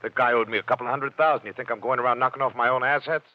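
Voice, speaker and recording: An American actor playing a brash, defiant, shady nightclub boss in a 1940s radio crime drama, curt and streetwise. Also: male voice, medium pitch, fairly even intonation, rapid-fire, close to an everyday voice. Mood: defiant, blustering. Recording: dull vintage 1940s radio recording.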